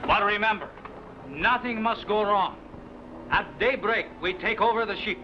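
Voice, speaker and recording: Man playing a high-voiced, suspicious mutineer leader. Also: moderate pace, close to an everyday voice. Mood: conspiratorial. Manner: suspicious, commanding, theatrical. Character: stern conspiring Spanish sailor, leader of mutineers. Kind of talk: old-time adventure serial acting. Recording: muffled old soundtrack, heavy background noise.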